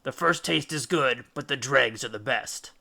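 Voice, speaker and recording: A man's voice: mid-range voice, moderate pace, vocal fry, bright, forceful tone, exaggerated voice. A man playing a cunning, commanding Greek hero and captain in an audiobook, with firm, declamatory delivery. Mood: stern, persuasive. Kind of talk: audiobook character acting.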